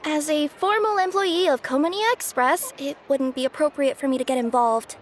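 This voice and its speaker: A woman's voice: high-pitched, moderate pace, background noise, close to an everyday voice. A woman voicing a young cat yokai courier in an anime game, girlish, cheerful and earnest, easily flustered and hesitant. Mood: worried. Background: background music.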